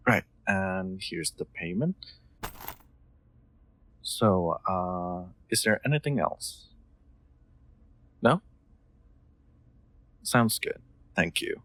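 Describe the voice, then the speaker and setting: Male actor as soft-mannered aristocrat for audio drama: low, slow, gravelly edge, awkwardly polite, formal phrasing. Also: full character voice. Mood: formal, businesslike. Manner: hesitant, polite, formal wording with stammered ums. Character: gentle, awkward young lord, courteous automaton owner. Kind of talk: scripted audio roleplay, intimate one-sided dialogue.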